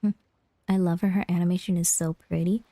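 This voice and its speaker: A woman streaming a game, chatting casually and reading dialogue aloud, with a creaky voice. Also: lowish voice, steady tone, fairly quick, warm tone, no room sound.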